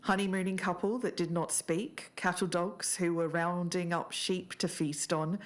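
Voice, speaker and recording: Low-voiced woman podcast host. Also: fairly even intonation, moderate pace. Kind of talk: podcast narration of research, reading quotes.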